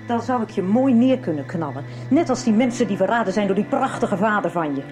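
A woman's voice: mid-range voice, lively intonation, a little fast, over music, close to an everyday voice. An actress in a 1990s radio soap playing a menacing, scornful woman who taunts and commands as she presses a man for information. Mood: cold, pressing.

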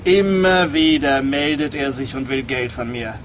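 A man's voice: mid-range voice, unhurried, a little hiss or hum, lightly acted. A man playing an aggrieved, harried culprit in a radio play, complaining and put-upon. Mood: bitter, resigned. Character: aggrieved, harried male culprit confessing bitterly. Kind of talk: German-language radio play acting.

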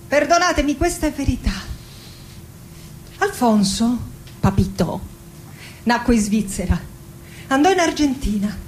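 An actress playing a melancholic middle-aged woman poet recalling her family in a slow, deliberate theatrical monologue with dramatic pauses. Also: on the high side, slight background noise, poor audio. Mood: wry, confiding.

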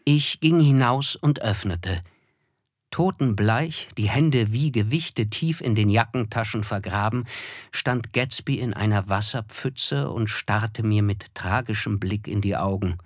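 A man's voice: lowish voice, unhurried, no echo, clean studio sound. A man reading literary, scripted narration as the narrator of a radio play. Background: music.

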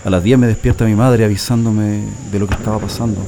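A man's voice: on the low side, fairly even intonation, fast, very noisy, lightly acted. A Chilean man playing a former printing worker and resistance militant telling his story in a first-person radio drama. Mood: reflective. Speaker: narrator giving testimony in a radio drama.

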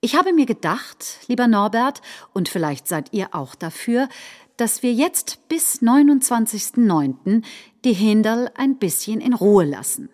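A woman acting in a radio play, reciting a populist politician's speech in a deliberate, rhetorical manner. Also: on the high side, very animated, a little mellow. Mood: combative, mocking. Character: combative, folksy populist politician rallying supporters.

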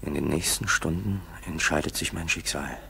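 A man's voice: a bass voice, normal speed, creaky, pushed and bright, full character voice. A man acting an earnest, determined Soviet fighter pilot lieutenant in a 1960s German radio drama. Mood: brooding. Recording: 1960s school radio tape, faint hiss.

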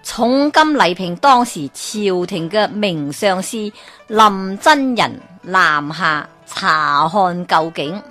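A woman storyteller narrating a folk tale in an animated way, with exclamations and character voices. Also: on the low side, slow, faint music behind.

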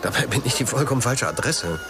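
An actor playing a guilty, self-justifying man in a naturalistic radio crime drama, confessing in a halting, defensive way. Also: medium pitch, lively intonation, over a noisy crowd, very noisy, close to an everyday voice.

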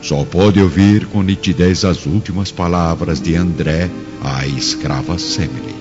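Brazilian guy narrating a radio drama, super deep solemn voice, music behind. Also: a little slow. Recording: dull lo-fi recording. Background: clear background music.

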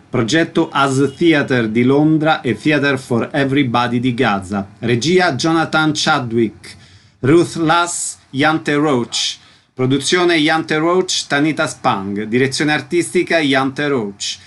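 A man announcing a radio show, reading scripted information and credits in a measured way. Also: mid-range voice.

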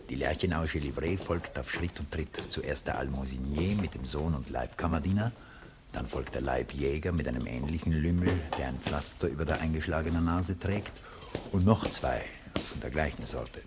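Very deep-voiced male narrator. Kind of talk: scripted stage-direction narration. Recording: old recording with clear hiss.

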